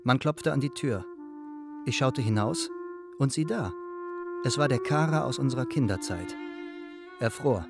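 An actor playing an Ottoman miniature painter in a radio drama, telling fables in a deliberate, formal way with drawn-out emphasis. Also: medium pitch, lively intonation, creaky. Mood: measured storytelling. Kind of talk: literary radio drama storytelling. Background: music.

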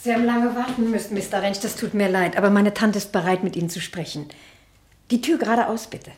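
A woman plays a timid, well-mannered spinster niece in an old radio drama with faint noise. Her voice sits at an ordinary female pitch. She speaks courteously and apologetically. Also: brisk, darkish tone, lightly acted. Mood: polite, welcoming. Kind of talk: 1960 German radio play acting.

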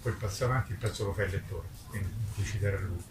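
Older man, publisher at a live literary talk, insistent and combative, caught from a distance over clear noise. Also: sits low, fast talker. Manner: emphatic, polemical, repeats words for emphasis. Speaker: independent publisher. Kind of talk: impromptu speech at a literary book presentation. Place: live book presentation at a festival event. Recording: distant mic, echoey, noisy ambience.

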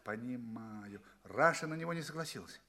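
A man's voice: medium pitch, animated, normal speed, bright, forceful tone. An actor playing an anxious, bewildered space traveller in an audio play, politely asking questions and telling his tale theatrically. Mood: puzzled.

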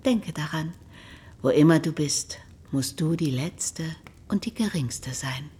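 A woman's voice: low voice, slow pace, warm tone, slightly rough, heavily acted. A woman acting a haughty, scolding aunt in a German radio drama, speaking in a condescending, formal, admonishing way. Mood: stern admonishing. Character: haughty, scolding aunt putting her niece down.

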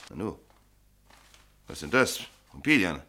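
An actor playing a cynical, bossy veteran newspaper editor of middle age in a German radio play, with a sardonic, needling manner. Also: male voice, low voice, very animated, a little slow, pushed and bright, breathy edge, heavily acted. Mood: incredulous, probing. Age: middle-aged.

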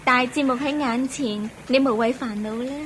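High-voiced, gentle queen played by a woman. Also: slight background noise. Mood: soothing. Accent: Hong Kong Cantonese. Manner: gentle, respectful, measured. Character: gentle, devoted, reassuring middle-aged queen and foster mother. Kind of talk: Cantonese Buddhist radio drama acting.

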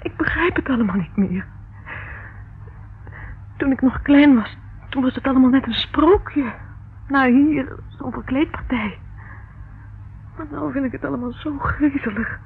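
An actress playing a frightened, secretive young woman in a radio play, emotional, shifting between hushed and urgent speech. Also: sits high, unhurried, pauses often, slightly rough, exaggerated voice. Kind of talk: radio play acting in Dutch. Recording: muffled, narrow-band, noisy old radio recording.

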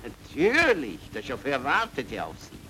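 A man's voice: medium pitch, wildly swooping pitch, normal speed, sharp tone, a little hiss or hum, poor audio. A man playing a polite, flustered receptionist in an old German radio crime drama. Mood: flustered, obliging.

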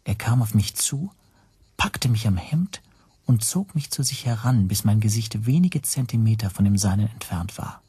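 An actor narrating a radio drama in the first person as an anxious language teacher, hushed and tense. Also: male voice, lowish voice, animated, normal speed, breathy edge, no room sound. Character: anxious male language teacher recounting his spy troubles.

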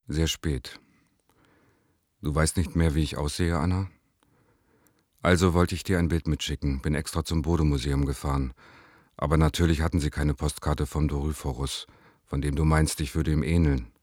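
A man's voice: rumbling low, steady tone, normal speed, long pauses, a little mellow, no echo, studio quality. An actor playing a wistful, wry lover in a radio play, reading his letters aloud with understatement.

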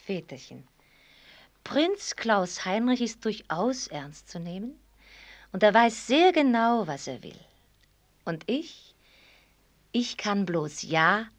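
An actress playing a self-assured young daughter in a radio play, speaking with tender, deliberate emphasis. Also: female voice, mid-range voice, very animated. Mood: tender, firm. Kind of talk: German radio play acting.

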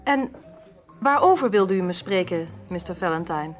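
An actress playing a guarded, plain-spoken nightclub performer with a direct manner in a radio drama. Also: female voice, fairly low pitch, lively intonation, moderate pace, faint music behind, close to an everyday voice. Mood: polite.

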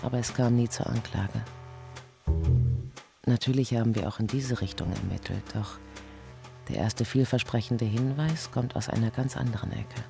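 An actor playing a police investigator who narrates a murder case soberly and factually in an audio crime drama. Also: male voice, medium pitch, moderate pace, gravelly, lightly acted. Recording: music bed under the voice. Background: instrumental music.

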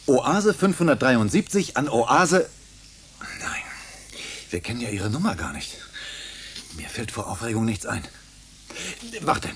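Nervous engineer, lively swinging voice. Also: male voice, medium pitch, measured pace, pauses often, slight background noise. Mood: excited, flustered. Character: Antonin, nervous, doubting male electrical engineer. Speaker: radio drama actor. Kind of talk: German science fiction radio play acting.

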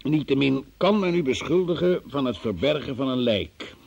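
An actor playing a forceful police investigator in a radio drama, formal, probing and theatrical in his delivery, with a husky voice. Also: medium pitch, lively intonation, normal speed, highs cut off. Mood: measured, dry. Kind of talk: Dutch radio drama acting.

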